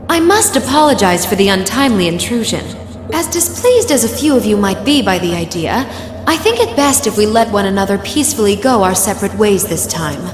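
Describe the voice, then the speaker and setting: A woman's voice: mid-range voice, close to an everyday voice. An actress playing a poised, condescending yet courteous witch in a video game, speaking formally and with composure. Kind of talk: English video game cutscene acting. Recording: echoey long reverb, music behind.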